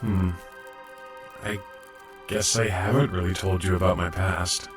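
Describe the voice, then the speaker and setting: A man's voice: sits low, steady tone, measured pace, recorded from a distance, over music. A man playing a shy, awkward young robot medic in an audio drama, hesitant, stammering and apologetic. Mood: reflective, hesitant. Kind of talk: fan audio drama acting.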